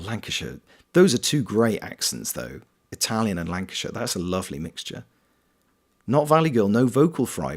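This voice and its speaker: A British man streaming a game, chatting casually and reading viewers' comments aloud, greeting them by name. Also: on the low side. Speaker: streamer and voice actor.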